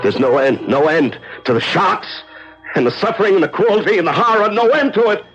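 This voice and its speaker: An actor playing a weak, despairing starving prisoner in a radio drama, rambling and halting, his frail voice moving between tender murmurs and anguished cries. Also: high voice, lively intonation, breathy edge, exaggerated voice. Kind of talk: old-time radio drama acting. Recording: dull radio recording, loud music.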